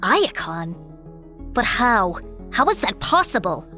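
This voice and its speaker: A woman's voice: medium pitch, sing-song, slow pace, bright, forceful tone, soft background music, heavily acted. A woman playing a timid female robot scientist in an audio drama, frightened and fragile. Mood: dazed, confused.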